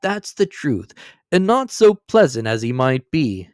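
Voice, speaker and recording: A man voicing a jolly young gentleman nephew who laughs heartily, in a storybook reading. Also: mid-range voice, very animated, unhurried, dry recording, clean studio sound, voice pushed up. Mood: jovial.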